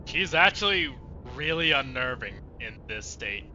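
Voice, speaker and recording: Male streamer, casual gameplay commentary, very animated intonation, forceful edgy voice, slightly muffled lo-fi sound, faint music. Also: medium pitch, measured pace. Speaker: streamer playing a game. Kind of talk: casual let's play commentary.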